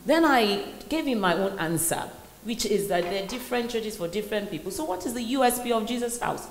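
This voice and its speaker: A Nigerian woman hosting a live session, telling stories in an animated way with bursts of laughter. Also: medium pitch, fairly quick. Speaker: host. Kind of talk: lively onstage conversation. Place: large hall. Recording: echoey hall with faint noise.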